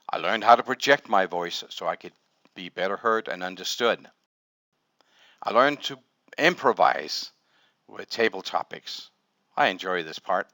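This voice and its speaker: A man's voice: lowish voice, pushed and bright, no echo. Man giving a playful club speech. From Denmark. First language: Danish. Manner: playful storytelling with wordplay. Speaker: speaker at a public speaking club. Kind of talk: humorous prepared speech.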